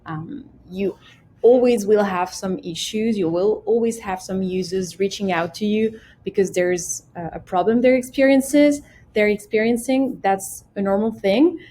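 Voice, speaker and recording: A woman presenting a casual software tutorial, explaining each step simply and speaking directly to the viewer. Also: medium pitch, measured pace, slight background noise. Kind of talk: casual screen-share tutorial.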